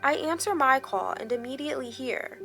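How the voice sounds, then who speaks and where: A woman narrating a scripted dramatic first-person story in a measured, expressive storytelling manner. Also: high voice, gravelly. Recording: background music under the voice. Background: music.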